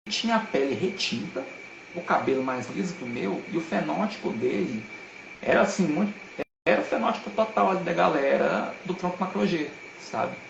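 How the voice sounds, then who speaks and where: A man's voice: fairly high pitch, gravelly, background noise. A man talks as a guest on a live stream, earnest and emphatic, with a lot of slang. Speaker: guest speaker. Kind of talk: casual live-stream talk. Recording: roomy echo.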